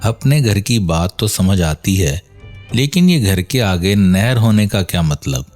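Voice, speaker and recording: A man with a fairly low voice reads a moral religious tale as a storyteller. His delivery is measured and earnest, with music playing faintly behind the narration. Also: big pitch swings, darkish tone. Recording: voice over background music.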